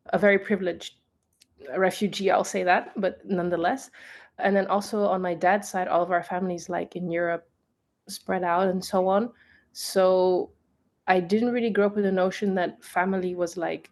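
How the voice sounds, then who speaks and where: A young woman who grew up in Tanzania, a podcast guest telling her story in a relaxed, thoughtful way. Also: on the low side.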